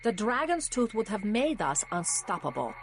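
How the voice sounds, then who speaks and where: A woman playing a sly, poised Hong Kong politician in a video game, with a measured, persuasive delivery. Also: medium pitch, big pitch swings, soft background music.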